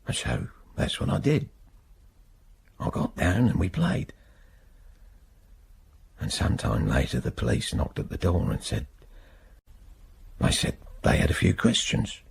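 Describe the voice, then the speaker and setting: A haunted working-class English man in a radio drama. His voice is low and very rough, and it swings widely in pitch as he talks in a halting, plain-spoken way. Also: huge pitch swings, full character voice. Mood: anguished, helpless. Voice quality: husky, gravelly. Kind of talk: naturalistic radio drama acting.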